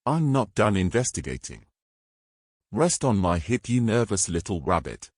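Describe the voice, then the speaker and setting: A British man playing a bold, gruff, teasing knight in a fantasy audio drama, with a wry, brash manner and old-fashioned turns of phrase. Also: on the low side, big pitch swings, normal speed, no echo, clean studio sound. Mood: coaxing, determined.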